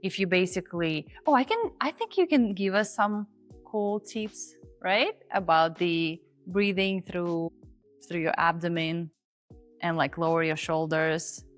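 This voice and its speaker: A woman who is not a native English speaker, hosting and teaching in a chatty, animated way. She breaks off now and then to act out example voices. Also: fairly low pitch, unhurried, soft background music. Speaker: confidence coach and host. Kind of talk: casual on-camera teaching.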